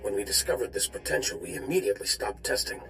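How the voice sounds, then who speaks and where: An actor playing a stern military commander in a video game, with a gravelly, clipped, authoritative delivery. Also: male voice, fairly low pitch, very animated, quick pace, a bit bright, faint music behind, exaggerated voice, rough recording. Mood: grave urgency. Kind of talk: video game cutscene acting.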